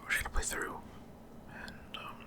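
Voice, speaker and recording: A man hosts a gameplay video entirely in a soft, breathy whisper. His ASMR-style commentary comes in short, halting pieces, with pauses in the middle of his sentences. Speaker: gaming video host.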